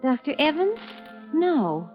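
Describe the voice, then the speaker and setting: A polite but evasive widow answers questions in a fairly high voice. She sounds like part of an old radio play, heard through a dull broadcast with faint music. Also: sing-song, slow, exaggerated voice. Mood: guarded. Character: guarded young widow. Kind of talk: 1950s radio drama acting.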